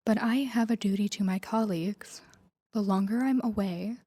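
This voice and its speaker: Soft, mellow mafia boss girlfriend, played by a woman. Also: medium pitch, lightly acted. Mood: earnest, regretful. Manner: measured, confiding, earnest. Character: guarded, tender female mafia boss confessing to online lover. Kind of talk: intimate scripted audio roleplay addressed to the listener.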